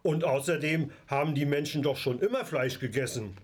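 A man's voice: a little high, moderate pace, slightly rough. A male amateur playing a stubborn, skeptical grandfather in an audio drama. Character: skeptical old grandfather, stubborn meat-eater.

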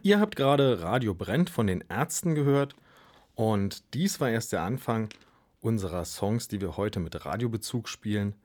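A man hosting a radio show, presenting with a husky voice. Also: on the low side, animated, normal speed, darkish tone.

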